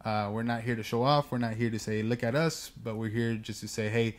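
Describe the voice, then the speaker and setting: Relaxed young man hosting a faith chat. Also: medium pitch, brisk. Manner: relaxed, joking, encouraging. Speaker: co-host of a faith podcast.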